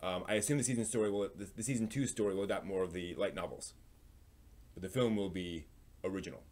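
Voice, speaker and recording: A man with a fairly low voice presents news as the host of a live stream. His delivery is informal and a little halting, with phrases that tend to trail off as he comments on each item. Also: darkish tone.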